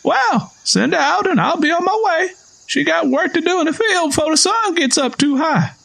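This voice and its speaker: A man's voice: extremely high pitch, animated, normal speed, gravelly, a bit bright, pitched above the performer's own voice, full character voice. A man voicing a stern, stubborn old Southern father in an audio story, speaking bluntly and insistently. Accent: American South. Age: older.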